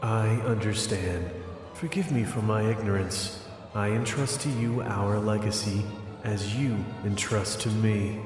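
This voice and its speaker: A solemn, humble man, acted out by a voice actor, speaks fairly low in an audio drama. His voice echoes through a hall over prominent music. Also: measured pace, close to an everyday voice. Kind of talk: fan audio drama acting. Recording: echoing hall reverb.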